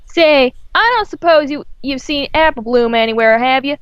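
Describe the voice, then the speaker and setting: A woman's voice: sits high, moderate pace, dull audio, voice pushed up, close to an everyday voice. A woman voicing a hearty, chatty female farm pony with a folksy country drawl, in a storybook reading. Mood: concerned.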